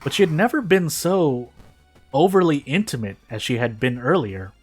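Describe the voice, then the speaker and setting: A man's voice: a little high, lively intonation, normal speed, slightly rough, faint music behind. A man doing casual gaming video narration and commentary in a rambling, self-deprecating way. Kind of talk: casual gameplay commentary and fanfic reading.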